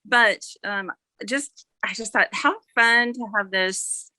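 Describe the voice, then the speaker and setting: A woman's voice: fairly high pitch, takes it slowly, sharp tone, no echo. A mature woman chatting casually as an author guest, rambling a little and correcting herself as she goes. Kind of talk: casual interview chat.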